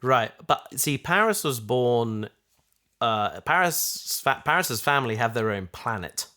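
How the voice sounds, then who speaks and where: Guy podcast host just chatting, kinda hesitant, works out his thoughts as he goes, medium pitch. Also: sing-song, a little slow, sharp tone. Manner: hesitant, thinking aloud. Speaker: podcast co-host and audio drama creator. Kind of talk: casual discussion chat.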